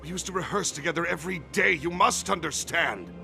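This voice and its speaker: A man playing a formal, dutiful guard captain in a video game, stiff and sometimes halting. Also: a little high, gravelly, over music. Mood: grave, conflicted. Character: dutiful male guard captain, flustered by old friend, stern with subordinates.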